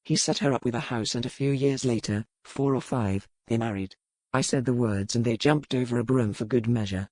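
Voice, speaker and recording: An actor playing a stern, world-weary pirate captain in an audiobook, speaking in a measured, formal way. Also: male voice, mid-range voice, darkish tone, dry recording, lightly acted, poor audio. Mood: grave, matter-of-fact. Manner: measured, formal, matter-of-fact. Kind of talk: audiobook character dialogue.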